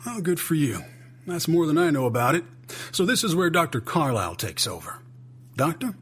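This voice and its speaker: A man's voice: on the high side, big pitch swings, moderate pace, slightly rough. An actor playing a weary, skeptical male intelligence chief in an audio drama, clipped and sardonic with heavy sighs. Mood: dry, sardonic. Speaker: senior agency official.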